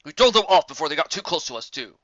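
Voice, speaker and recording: A dutiful monster officer performed by a male story reader barks reports in a raised, forceful voice. The delivery is military and deferential, pitched up and loud, from a fantasy audio story. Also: lively intonation, fast, no echo, highs cut off. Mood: eager, barking. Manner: barking, clipped military deference.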